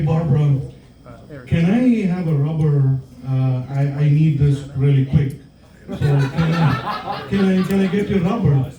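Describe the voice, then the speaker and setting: A man from southern India with a South Indian accent, telling stories in a stand-up set with hesitant pauses and many fillers. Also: sits high. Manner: hesitant, filler-heavy storytelling with many ums. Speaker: stand-up comedian. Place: comedy club stage. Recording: roomy club sound from far off.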